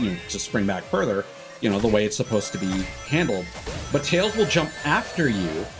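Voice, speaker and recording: A man livestreaming games with casual, rambling, opinionated and sweary commentary. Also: mid-range voice. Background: game music.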